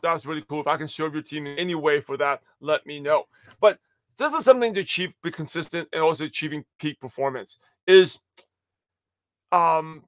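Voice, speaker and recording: A man in his forties gives an energetic morning pep talk on a live stream, his voice pitched high with a slight tired rasp. He sits at a desk in a home studio, and the sound is dry and close. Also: no echo. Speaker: livestream host and network marketing coach. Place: home studio office with shelves.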